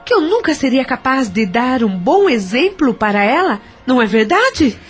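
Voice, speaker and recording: A woman's voice: high voice, sing-song, moderate pace, slightly rough, soft background music, full character voice. A woman playing a vindictive, seductive possessed mother in an audio drama, haughty and insistent. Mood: defiant.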